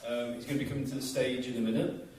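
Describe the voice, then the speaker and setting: A man's voice: medium pitch, steady tone, rapid-fire, darkish tone. A man hosting a college event in a chatty, jokey way, addressing a student audience. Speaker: college lecturer and host. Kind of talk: casual stage hosting. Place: college hall. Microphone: handheld mic heard from far off. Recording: very echoey hall from far off with music.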